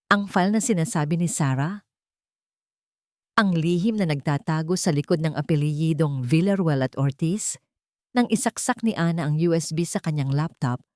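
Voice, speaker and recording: A woman narrates a dramatic love story in a low-pitched voice. The delivery is scripted and measured, with emphatic suspense, and the sound is dry. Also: darkish tone, dry recording.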